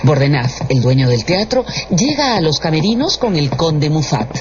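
A man's voice: fairly high pitch, very animated, moderate pace, background noise. A man giving dramatic scripted narration for a radio drama. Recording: dull lo-fi broadcast with music behind.

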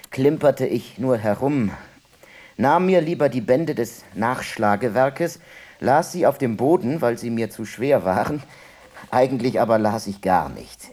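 An actor playing a reflective man who recalls his childhood in a halting, musing way in a radio play. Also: fairly low pitch, a little mellow, husky, heavily acted. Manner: halting, musing, self-correcting. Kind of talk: radio play monologue, reminiscing.

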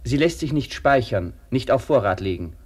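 A man's voice: mid-range voice, animated, moderate pace, slightly rough. A man delivering precise, explanatory scripted narration in a radio drama.

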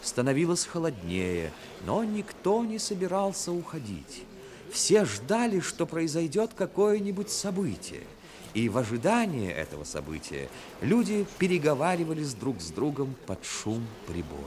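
An actor narrating a seaside New Year tale in a measured, literary manner for a 1960s radio play. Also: male voice, a little high, huge pitch swings, a little mellow, a little hiss or hum. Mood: measured, expressive.

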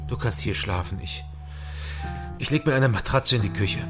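An actor playing a wary middle-aged East German private detective in a radio crime drama, terse and matter-of-fact. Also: male voice, on the low side, normal speed, over music, natural voice. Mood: uneasy, questioning. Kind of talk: radio crime drama with first-person narration.

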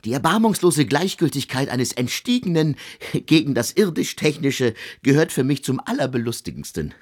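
An actor playing an aloof, intellectual young composer in a literary radio drama, lecturing with offhand irony. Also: male voice, medium pitch, big pitch swings, moderate pace, slightly rough. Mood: wry, lecturing.

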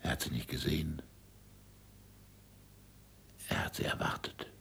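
An actor in a 1960s German radio drama playing a passionate, impatient middle-aged astronomer, with emphatic stage diction and a gravelly, husky voice. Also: male voice, very deep voice, steady tone, a little slow, in a whisper, full character voice. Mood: intent, wondering. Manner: emphatic stage diction, swinging from murmurs to shouts.

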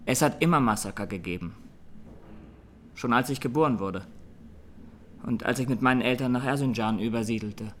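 An actor playing a haunted young Armenian defendant giving grave, deliberate testimony in a courtroom radio drama. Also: male voice, medium pitch, fairly bright tone, a little hiss or hum, lightly acted.

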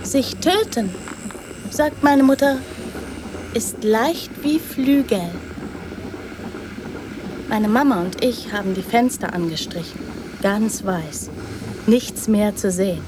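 A woman's voice: medium pitch, slow pace, long pauses, noisy background, lightly acted. An actress playing a trusting, direct young girl in a radio drama, speaking in plain, naive short sentences. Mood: naive, earnest.

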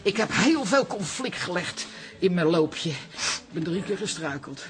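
A woman's voice: lowish voice, lively intonation, a little slow. An actress playing a vain, self-important older woman in a Dutch radio play, pompous, fussy and easily indignant. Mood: self-satisfied.

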